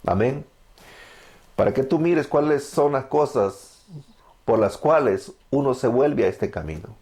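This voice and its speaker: A man's voice: medium pitch, expressive, a little slow, darkish tone. A man in his thirties from El Salvador, with a Salvadoran accent, preaching his testimony fervently and answering his own questions. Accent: Salvadoran Spanish. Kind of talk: evangelical testimony sermon.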